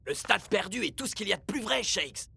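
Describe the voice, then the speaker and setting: Excitable, high-pitched young footballer. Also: male voice, a little fast, gravelly, slightly rough, no room sound, heavily acted. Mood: dramatic storytelling. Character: excitable young footballer hungry for adventure and danger. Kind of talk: French cartoon dub acting. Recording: loud music behind the voice.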